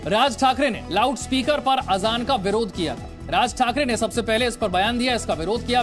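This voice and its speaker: A man presenting television news as an anchor, explaining developments directly to viewers. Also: high voice. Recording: music bed under the voice.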